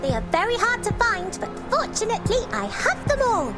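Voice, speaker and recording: A woman voicing a bright, excitable young girl in a video game, with a girlish, exclaiming delivery. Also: brisk, loud music behind the voice. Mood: chirpy, concerned. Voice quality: high, girlish. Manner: animated, exclaiming.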